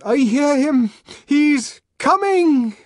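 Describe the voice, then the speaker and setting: A man voicing fervent male worshippers in an audiobook, shouting with ritual excitement. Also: very high voice, extremely slow, no echo, clean studio sound, voice pushed up, exaggerated voice. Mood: excited fervour. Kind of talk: dramatic audiobook reading.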